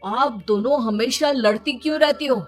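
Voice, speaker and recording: Woman voicing a high-voiced, exasperated young husband. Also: moderate pace, faint music behind. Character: harried young husband, exasperated and put-upon. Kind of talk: Hindi children's cartoon dubbing.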